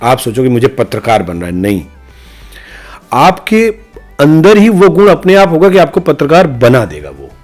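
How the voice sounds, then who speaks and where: A man's voice: on the high side, wildly swooping pitch, normal speed, darkish tone, faint music behind. Emphatic middle-aged man, rhetorical speaker. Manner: emphatic, rhetorical, speaks in repeated parallel phrases. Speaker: interview guest, senior news journalist. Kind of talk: podcast interview, reflective opinionated talk.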